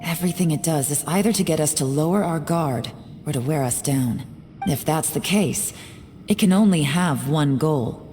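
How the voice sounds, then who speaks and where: In long, cavernous reverb over soft music, a woman acts out a secretive female investigator for a dramatic game. Her voice is very low, and she speaks deliberately, composed, guarded and resolute. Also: natural voice. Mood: guarded, analytical. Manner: measured, serious, deliberate delivery. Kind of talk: dramatic video game voice acting. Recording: long reverberant hall sound. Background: faint background music.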